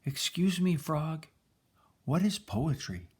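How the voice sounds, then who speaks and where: A man's voice: on the high side, very animated, takes it slowly, husky, voice pushed up, full character voice. An older man voicing a curious, polite young boy from a picture book, asking questions. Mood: curious, calling.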